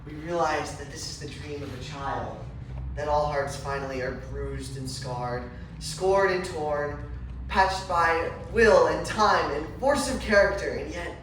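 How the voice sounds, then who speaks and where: A man giving a solemn, measured dramatic recitation of literary prose on stage. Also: fairly high pitch, fairly bright tone. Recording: echoey room, far mic, noisy.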